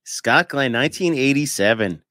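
A man's voice: mid-range voice, animated, moderate pace, pushed and bright, no echo, studio quality. A man hosting a podcast in casual chat, putting questions to others in an informal, piecemeal way. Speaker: podcast host.

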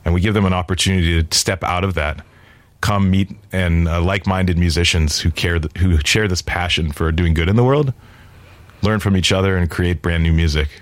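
A man's voice: sits low, a little fast, dry recording. A man, founder of a music nonprofit, explaining his mission at length in an interview, earnest and expansive with many fillers. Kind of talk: interview conversation.

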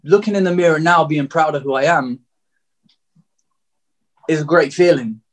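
A man's voice: a little high, moderate pace. A man of thirty with a southeast English accent, telling his personal story openly and reflectively as an interview guest. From Margate, England.

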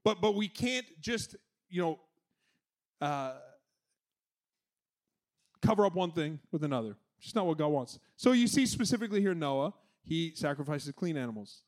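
High-voiced pastor preaching with energy. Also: male voice, moderate pace, long pauses. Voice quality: loud voice. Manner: energetic, conversational preaching with playful asides. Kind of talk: sermon.

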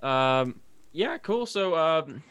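A man's voice: on the high side, very animated, extremely slow, fairly bright tone, clear, resonant tone. A man in his twenties with an American accent, chatting casually and jokily as a podcast co-host. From Northern Kentucky, United States. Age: 20s. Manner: jokey, laughs often.

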